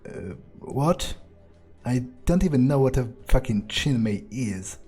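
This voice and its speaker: A man roleplaying a hesitant, curious vampire in a video game, musing aloud in a halting, wary way. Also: medium pitch, lively intonation, darkish tone, slightly rough, faint music behind. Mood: puzzled. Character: hesitant, curious male vampire, wary yet polite loner. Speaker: let's player voicing own character. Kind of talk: in-character improvised game roleplay.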